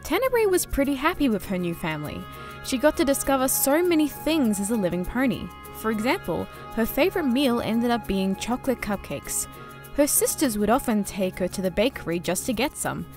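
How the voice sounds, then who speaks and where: A woman reading scripted storybook-style narration as a narrator. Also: fairly high pitch.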